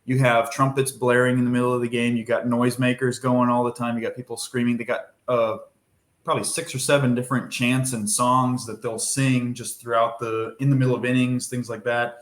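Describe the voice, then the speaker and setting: A young man from Minnesota, a baseball pitcher, talking casually in a podcast interview, easygoing and rambling with fillers. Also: flat delivery, fairly quick, echoey room.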